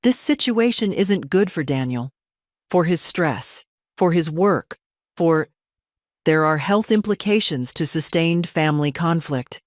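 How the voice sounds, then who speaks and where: A scheming daughter-in-law voiced by a woman narrator talks with deliberate care and condescension. Menace sits under her smooth veneer, in a dry, close audio-drama sound. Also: low-pitched, expressive, no room sound. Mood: cool, pressing.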